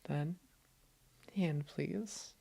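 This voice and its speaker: A woman playing a doting, gently teasing girlfriend in an intimate roleplay audio, affectionate and reassuring. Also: deep voice, drawn-out words, vocal fry, slight background noise, full character voice. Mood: playful, fond.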